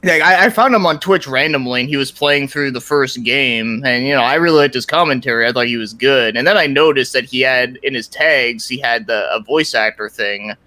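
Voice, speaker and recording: Bright-voiced man rambling casually. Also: medium pitch, fairly quick, few pauses. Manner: rambling, informal, peppered with "like" and mild swearing. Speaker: podcast guest, YouTube content creator. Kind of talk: casual interview chat about his projects and gaming.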